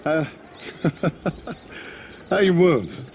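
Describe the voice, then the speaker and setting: An actor playing a young American cowboy, laconic and skeptical with dry politeness, in a video game. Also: male voice, on the high side, expressive, extremely slow, creaky, darkish tone, slight background noise, full character voice. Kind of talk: naturalistic video game acting.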